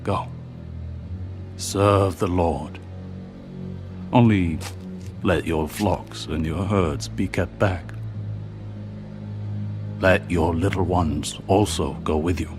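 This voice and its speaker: Angry ancient Egyptian king, low and slow, threatening, commanding, audio drama, music behind. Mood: grudging command. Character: stern, angry Pharaoh, commanding and menacing ruler. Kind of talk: dramatised audio Bible acting.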